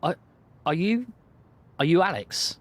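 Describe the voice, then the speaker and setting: A man's voice: animated, measured pace. A man voicing a frantic, fussy uncle who stammers with worry. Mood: anxious. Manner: flustered, stammering, high strained voice.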